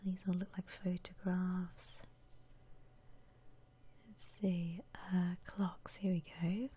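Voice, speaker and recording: A woman whispering softly and breathily as she muses aloud through ASMR game commentary. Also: lowish voice, level tone, husky. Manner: unhurried, soft-spoken musing aloud. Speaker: host of an ASMR gaming channel.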